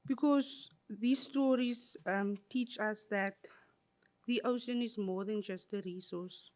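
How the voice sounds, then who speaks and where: A woman with a medium-pitched voice gives a prepared talk at a conference. She speaks in a measured, reflective way. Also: a little mellow. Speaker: conference presenter. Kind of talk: prepared presentation.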